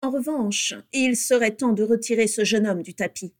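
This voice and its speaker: A woman's voice: fairly high pitch, normal speed, lightly acted. Woman playing an icy, haughty aristocratic wizard. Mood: icy disdain. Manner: icy, curt, carefully articulated.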